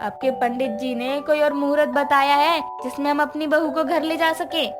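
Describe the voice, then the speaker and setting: Woman doing a forthright middle-aged mom-in-law, high voice, cartoon story, bit of music behind. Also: steady tone, moderate pace, close to an everyday voice. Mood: concerned. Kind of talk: Hindi moral-story cartoon dialogue. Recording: background music under voice.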